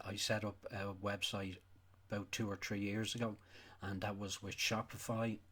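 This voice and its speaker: A man's voice: on the low side, fairly bright tone. A man with an Irish accent delivering an unscripted, opinionated rant as a stream host, blunt and sweary, repeating phrases for emphasis. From Ireland. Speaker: livestream host.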